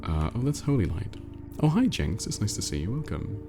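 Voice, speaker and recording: Male livestream host, low and creaky, stuffed-up nose, rambling casual chat, faint music behind. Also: sing-song, fairly quick. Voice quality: nasal, congested. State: congested with a cold. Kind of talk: casual livestream chat, reading viewer messages aloud.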